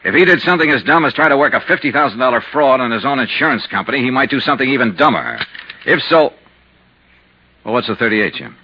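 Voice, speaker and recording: An actor playing a hard-boiled, weary insurance investigator in a 1950s radio detective drama. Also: male voice, medium pitch, very animated, fast, pushed and bright, slight background noise. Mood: grim, determined. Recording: muffled 1950s radio recording.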